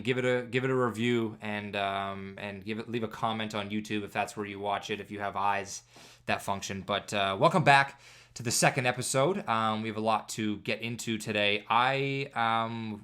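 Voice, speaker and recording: A congested man in his thirties from Canada hosts his own podcast alone, telling self-mocking stories. His voice sits fairly low, and he talks casually into a podcast mic. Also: fairly bright tone. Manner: self-deprecating, jokey storytelling. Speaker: podcast host. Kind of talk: casual solo podcast monologue.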